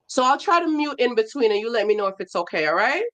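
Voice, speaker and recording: A woman's voice: fairly high pitch, big pitch swings, fast. A woman hosting a casual livestream, speaking straight to her audience. She talks in an encouraging, conversational way. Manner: warm encouraging introductions, addresses viewers as guys. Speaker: livestream host.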